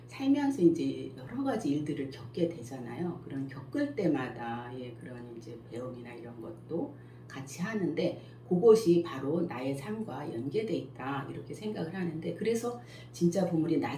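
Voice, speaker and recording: A middle-aged woman with a very deep, low voice. She answers questions slowly and thoughtfully, often pausing to search for words. The recording is roomy, with a faint hiss behind her. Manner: calm, thoughtful, many pauses and fillers. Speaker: school principal and picture book author. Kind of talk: interview answers. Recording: echoey room with faint noise.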